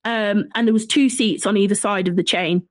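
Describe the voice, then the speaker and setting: A woman with a Shropshire accent talks as a guest, telling a piece of history in a conversational way. Her pitch sits in the middle for a woman. The sound is dry, with very little room in it. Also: fairly even intonation, a little fast, no room sound. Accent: Shropshire English. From Shropshire, England. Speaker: podcast guest, folklore and history writer.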